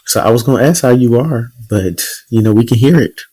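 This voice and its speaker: A man's voice: mid-range voice, expressive, fairly quick, a little mellow. A man co-hosting a podcast, chatting casually and teasing his co-host in playful banter. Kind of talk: casual chat.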